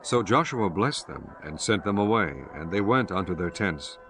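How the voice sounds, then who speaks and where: A man narrating scripture for an audiobook in a measured, solemn way. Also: deep voice, animated, a bit bright, a little hiss or hum.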